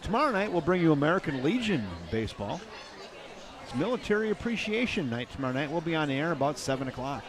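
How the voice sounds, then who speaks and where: An older man doing play-by-play for a baseball game, chatty and folksy, with light jokes between pitches. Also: big pitch swings, fast. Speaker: play-by-play announcer. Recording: crowd noise in the background.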